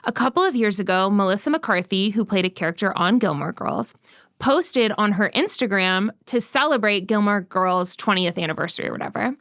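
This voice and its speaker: A woman's voice: medium pitch, expressive, a little fast, fairly bright tone, no room sound, studio quality. A young woman co-hosting a podcast, chatting casually and steering the conversation with lots of "like" fillers. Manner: chatty, frequent "like" fillers, leads the questions. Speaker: podcast co-host.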